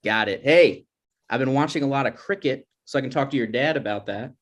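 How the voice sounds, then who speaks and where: A man's voice: medium pitch, fairly quick, natural voice. A man playing an awkward, defensive young grad student with hesitant, rambling delivery in a comedy table read. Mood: eager.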